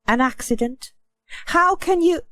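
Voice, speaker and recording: A woman's voice: very high-pitched, measured pace, a bit bright, dry recording. A woman voicing an exasperated, practical wife and mother in a children's storybook recording. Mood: incredulous. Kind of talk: audiobook character acting.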